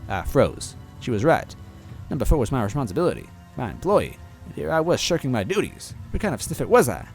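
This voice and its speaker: A man narrating a fan-fiction story aloud in a playful, animated way. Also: medium pitch, a little fast, faint music behind.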